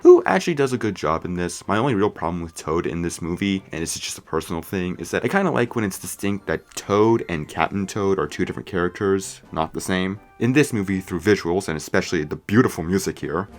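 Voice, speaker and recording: A man's voice: low-pitched, expressive, brisk, hardly any pauses, faint music behind. A man narrating a scripted movie review as commentary. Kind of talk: scripted video essay commentary.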